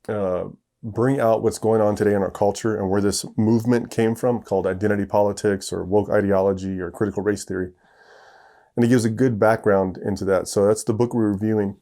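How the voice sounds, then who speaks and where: A young adult man hosting his own podcast from home, rambling through an intro with lots of fillers and restarts and poking fun at himself. His voice sits fairly low and sounds dark and mellow. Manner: rambling, self-deprecating, many fillers and restarts. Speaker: podcast host. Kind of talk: casual podcast talk. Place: home, planes passing overhead.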